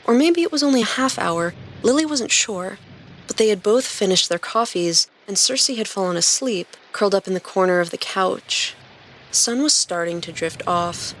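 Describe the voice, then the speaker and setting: Female narrator, medium pitch, scripted storytelling, podcast host, faint background noise. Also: a bit bright, poor audio.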